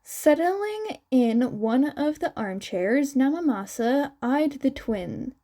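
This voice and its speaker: A woman's voice: high-pitched, animated, slow, warm tone. A woman narrating a story aloud, reading scripted fiction. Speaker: podfic narrator.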